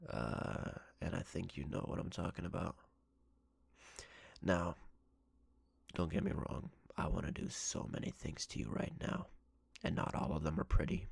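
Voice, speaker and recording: Man acting a very deep, gravelly obsessive ex-boyfriend. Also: expressive, dry recording, heavily acted. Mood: sinister, teasing. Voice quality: gravelly, husky, breathy. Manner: slow, deliberate, threatening, possessive. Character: menacing obsessive yandere ex-boyfriend, a jealous captor. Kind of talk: ASMR roleplay acting.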